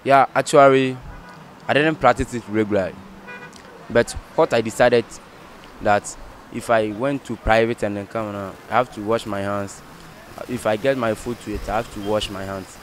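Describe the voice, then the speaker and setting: A man's voice: lowish voice, steady tone, normal speed, a little hiss or hum, poor audio. A young man explaining handwashing step by step in plain English to a street interviewer. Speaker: interviewee, mechanic. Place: outdoors at a workshop. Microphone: handheld mic held by interviewer.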